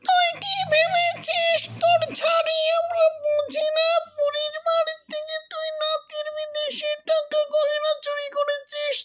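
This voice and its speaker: A performer voicing a feisty little fox in a cartoon, squeaky and confrontational, as leader of an animal pack. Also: level tone, unhurried, few pauses, a bit bright, soft background music. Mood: fierce, threatening. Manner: bold, confrontational. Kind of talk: cartoon character dub.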